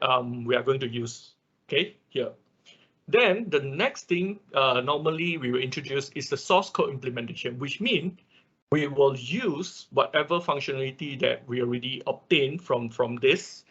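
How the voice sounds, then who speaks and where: A man's voice: mid-range voice, fairly bright tone, husky. A man giving an informal technical lecture as the instructor of an online class, often repeating phrases and punctuating his explanations with "okay". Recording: dull, lo-fi online class audio.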